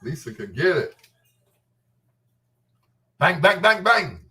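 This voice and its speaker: A man's voice: a little high, sing-song, takes it slowly, bright, forceful tone. A man hosting a talk show with a casual, unscripted monologue, gossipy and emphatic, often repeating his phrases. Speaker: host of a bedtime talk show.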